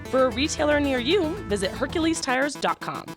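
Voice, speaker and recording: A woman's voice: high voice, animated, brisk. A woman reading a scripted commercial as an announcer. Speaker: advertisement announcer. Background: music.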